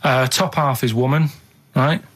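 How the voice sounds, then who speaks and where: A man talking on a comedy radio show at an ordinary middle pitch. He sounds earnest and matter-of-fact, setting things out in short, halting pieces and often checking that he is followed. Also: steady tone, fairly bright tone. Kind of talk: casual comic radio chat.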